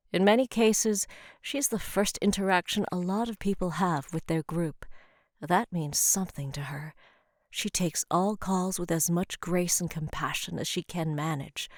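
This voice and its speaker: A woman's voice: lowish voice, a little mellow, no room sound. A woman delivering dramatic scripted narration for an audio drama.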